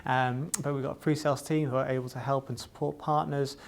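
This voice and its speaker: Gravelly guy from wales, pitches his company, you know this you know that, bit of hiss behind. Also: flat delivery, brisk. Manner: filler-heavy, repeats "you know". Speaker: distributor sales representative. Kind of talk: corporate promotional interview.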